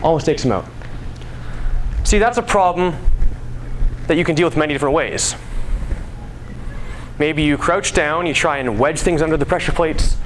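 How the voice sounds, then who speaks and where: A young man giving an informal speech to an audience in a conversational, playful storytelling manner. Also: fairly high pitch. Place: live talk before an audience at a school. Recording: noisy live recording, background ambience.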